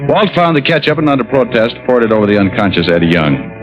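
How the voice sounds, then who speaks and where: An actor playing a tough, wry private detective with clipped hard-boiled delivery in old radio drama style. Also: male voice, mid-range voice, fast, close to an everyday voice. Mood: wry narrating. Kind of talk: 1940s-50s radio detective acting and noir narration. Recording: muffled old-time radio recording with music.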